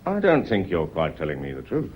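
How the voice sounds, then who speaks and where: An actor playing a shrewd police inspector in a radio drama, speaking deliberately and courteously while he probes. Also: low-pitched, wildly swooping pitch, husky, full character voice. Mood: probing, dry. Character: shrewd, politely relentless male police inspector. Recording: dull, muffled old radio recording.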